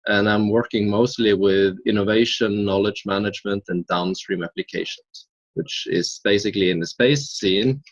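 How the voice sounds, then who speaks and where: A young man in his twenties from Norway explains his work in a casual interview, his low voice earnest and thoughtful. He often stops on small hesitations. The recording is dry and slightly dull. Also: moderate pace, no room sound. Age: 20s. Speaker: interview guest.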